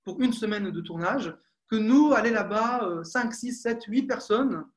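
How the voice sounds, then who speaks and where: A young French man with a high voice answers questions on a video call, stumbling over his words and filling pauses with hesitations. He talks through an earphone mic from a small room at home. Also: animated. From France. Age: young adult. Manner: hesitant, many fillers and restarts. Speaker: film director.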